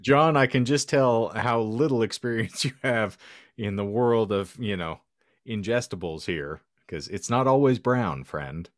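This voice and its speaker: A man's voice: fairly low pitch, expressive, a little slow, no echo, studio quality. A man co-hosting a podcast, bantering in a wordy, mock-formal, sardonic way. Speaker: podcast co-host. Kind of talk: casual comedic banter.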